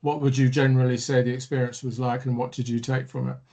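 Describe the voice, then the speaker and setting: A middle-aged man hosting an interview, agreeing warmly and asking follow-up questions. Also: medium pitch, little pitch movement, fast talker, creaky, muffled recording. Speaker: interviewer host. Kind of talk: conversational interview.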